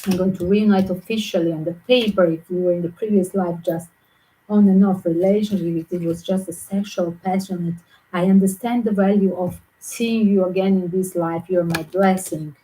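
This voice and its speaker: Fast-talking tarot reader with a Greek-Yugoslav accent. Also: female voice, on the low side, steady tone, dark tone, rough recording. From Southern Europe. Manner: fast, chatty, in non-native English. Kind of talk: tarot reading for viewers.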